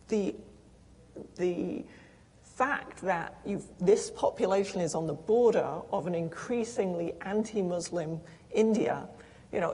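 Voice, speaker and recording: A lowish, husky woman explains her points earnestly on a conference panel. She restarts often and leans on fillers. Her voice rings with long reverb from a large hall. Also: dark tone. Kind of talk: panel discussion answer.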